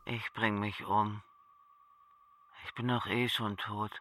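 An actress playing a despairing, self-pitying mother in a radio play, with a low, muted voice. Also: level tone, takes it slowly, fairly bright tone, full character voice. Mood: bleak despair.

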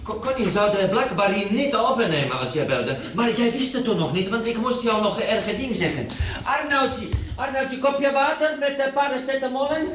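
A clumsy, fussy house servant who stumbles through broken grammar and keeps apologising, his voice pitched high above the other man's. He hesitates over nearly every phrase with little "eh" sounds. The room is roomy and echoing, with a faint hiss underneath. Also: lively intonation, normal speed, a bit bright. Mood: earnest. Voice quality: broken Dutch grammar, hesitant with many "eh" fillers.

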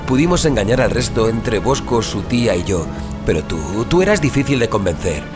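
An actor from an audio drama playing an earnest, haunted survivor and reformed troublemaker, confiding in colloquial Spain Spanish. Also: male voice, lowish voice, very animated, brisk. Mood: grave, resolute. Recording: dramatic music and storm sounds behind.

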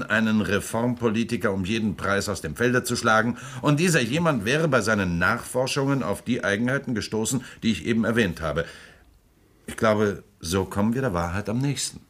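A man's voice: mid-range voice, animated, fairly quick, a bit bright. A man playing a suave, amused prosecutor and politician in a radio play, ironic and self-assured, laughing often. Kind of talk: German radio play acting.

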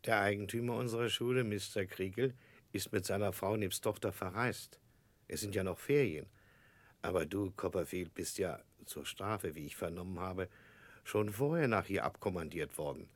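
An actor playing a mild but formal schoolteacher in a 1960s German radio drama, measured and regretful in manner. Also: male voice, sits low, no room sound.

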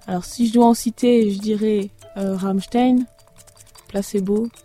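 A woman from Belgium who writes the lyrics for a duo, answering interview questions haltingly with many fillers. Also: mid-range voice, fairly even intonation, mellow, soft background music. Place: backstage after a concert.

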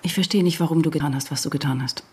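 An actress playing a distraught wife confronting her husband, speaking haltingly in intimate radio drama dialogue. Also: sits low, a little mellow, breathy edge. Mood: wounded, accusing.

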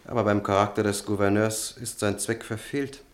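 A polite, calculating young student played by a man in a radio drama. He speaks in a low voice with measured courtesy and deliberate phrasing. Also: steady tone, close to an everyday voice. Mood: grave, hesitant. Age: young adult. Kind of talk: German radio drama acting.

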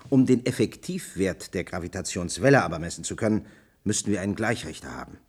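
An actor playing a cautious, pedantic scientist in a radio play, explaining deliberately in with some hesitation. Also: male voice, low voice, expressive, slightly rough. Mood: earnest, insistent. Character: worried, pedantic male scientist, cautious and precise. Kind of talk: German radio play acting.

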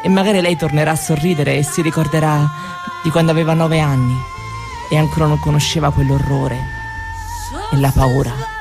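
Grieving granddaughter, actress, lowered voice, solemn stage monologue, measured phrases, tender and pleading. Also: female voice, steady tone, music in the background. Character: grieving granddaughter telling of her traumatised Roma grandmother.

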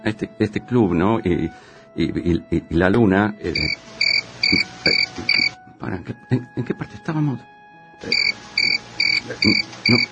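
Forgetful show-off would-be actor, argentine, croaky lowish voice, trips over his words, swings up and down, radio comedy. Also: expressive, takes it slowly, pauses often, over loud music, heavily acted. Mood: flustered, apologetic. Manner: stammering, rambling, repeats himself. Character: bumbling, boastful, forgetful would-be actor and filmmaker. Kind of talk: comedic radio play acting.

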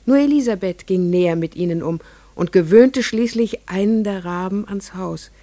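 An actress playing a farmer's wife and mother who tells her story in scripted first-person narration for a radio play. She speaks in a dreamy, measured way, hushed in places and rising to rapt wonder. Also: mid-range voice, natural voice.

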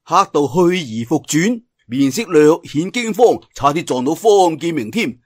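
A male audiobook storyteller with a Cantonese accent and a fairly high voice. He narrates martial arts fiction theatrically and colloquially, with very lively intonation. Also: slightly rough, no echo, clean studio sound. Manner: theatrical, colloquial telling with drawn-out sentence particles.

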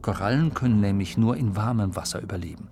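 A man's voice: lowish voice, fast, over music. A man reading scripted narration of a science audiobook for children.

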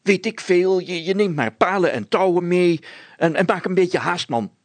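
A man's voice: high-pitched, lively intonation, normal speed, dry recording, voice pushed up. A man voicing a flustered, bossy village mayor in a story, stuttering and spluttering with sneezy speech. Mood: bossy, hurried. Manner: pompous, spluttering, exclamatory.

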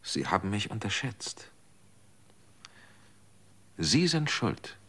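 A man's voice: lowish voice, big pitch swings, slow, bright, forceful tone, full character voice. An actor in a radio drama playing a guilt-ridden man who pleads and accuses. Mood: anguished, accusing.

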